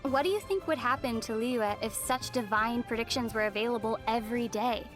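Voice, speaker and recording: Woman as young game heroine, fairly high voice, composed, gentle, wry, measured delivery, music underneath. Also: natural voice. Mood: thoughtful, knowing. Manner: measured, thoughtful storytelling. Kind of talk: video game character voice lines.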